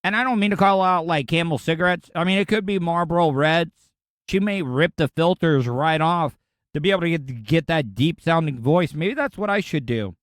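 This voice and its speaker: A sarcastic man from Florida rants through the news on his podcast, rambling and indignant. His voice sits a little high for a man. The sound is dry and close, with no room around it. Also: no room sound. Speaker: podcast host. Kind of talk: casual ranting commentary.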